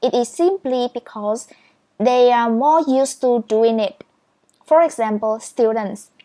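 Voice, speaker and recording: Woman, Vietnamese first language, speaking English. Slow, patient, encouraging instructor with a higher voice. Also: a little mellow. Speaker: speed reading instructor. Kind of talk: step-by-step tutorial.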